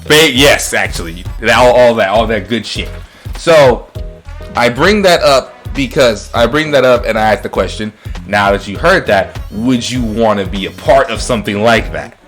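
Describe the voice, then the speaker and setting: A man's voice: medium pitch, expressive, normal speed, fairly bright tone, soft background music. A man hosting a casual podcast chat, rambling, often repeating himself and swearing freely. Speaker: talk show host.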